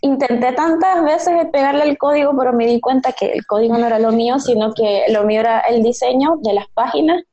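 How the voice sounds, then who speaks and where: A woman's voice: high-pitched, a little fast, hardly any pauses, mellow, muffled recording. A woman in her thirties with a Venezuelan accent, answering conversationally as a podcast guest.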